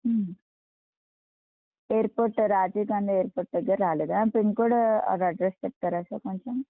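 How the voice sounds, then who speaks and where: A woman handles a customer support call at a brisk, quick pace. She is polite, apologetic and reassuring, addressing the caller deferentially. The sound is a narrow, tinny telephone recording, close and dry. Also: mid-range voice, no echo. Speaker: customer care agent. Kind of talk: phone customer support, polite scripted phrases. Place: on a phone line.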